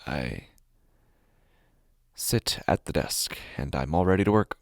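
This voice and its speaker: Man acting a deep-voiced, haunted horror narrator. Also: expressive, no echo, studio quality, heavily acted. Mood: eerily calm. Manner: halting, intense delivery in short broken phrases. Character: anxious, unraveling male office worker narrating his horror. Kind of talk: first-person horror audio drama monologue.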